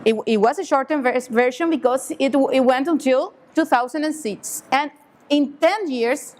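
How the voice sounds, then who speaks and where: A woman's voice: sits high, moderate pace, darkish tone. A woman from Cuba, speaking at a seminar in hesitant English full of fillers and restarts. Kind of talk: halting public remarks. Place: conference hall.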